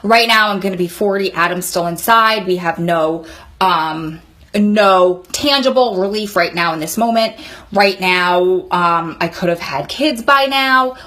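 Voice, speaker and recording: A woman's voice: medium pitch, a little slow, fairly bright tone. A woman of about forty giving candid advice in a vlog, speaking in an earnest, encouraging, conversational way. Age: 39, nearly 40. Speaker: vlogger advising prison wives.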